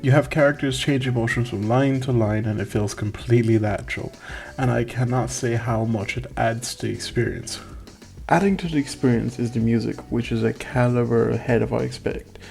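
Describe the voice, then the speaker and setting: A man's voice: mid-range voice, steady tone, brisk, gravelly, darkish tone, faint music behind. A man reading a scripted video game review in a wry, tongue-in-cheek way.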